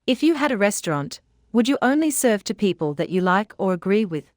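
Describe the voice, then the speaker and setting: A woman's voice: mid-range voice, very animated, brisk, darkish tone, dry recording, clean studio sound. A woman co-hosting a children's storytime, speaking in a scripted discussion.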